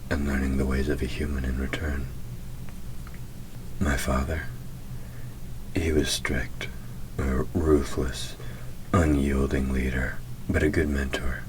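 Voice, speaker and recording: Very deep-voiced, soft-spoken male demon, acted by a man. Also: level tone, noisy background. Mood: wistful, tender. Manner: slow, deliberate, softly murmured, often near a whisper. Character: centuries-old male demon, proud, gruff but tender. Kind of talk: intimate audio roleplay drama.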